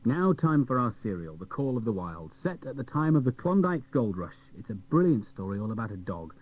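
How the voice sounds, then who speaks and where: A man's voice: mid-range voice, very animated, fairly quick. A man presenting a children's radio show, chatting directly to the listeners. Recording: dull old cassette radio recording.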